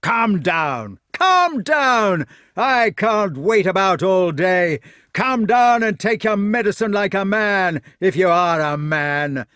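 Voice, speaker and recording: A man voicing a fat, bullying villain of a knight in a storybook, shouting with scornful bluster. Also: high-pitched, lively intonation, slow, dry recording, clean studio sound, voice pushed up, heavily acted. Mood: scornful, impatient.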